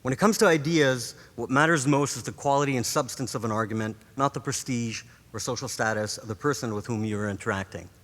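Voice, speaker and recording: A man's voice: mid-range voice, a bit bright. Man giving a speech in an echoing hall. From Montreal, Canada. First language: Greek. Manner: measured, rhetorical delivery. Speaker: professor giving a graduation speech. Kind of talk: formal commencement address. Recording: long reverb of a large hall.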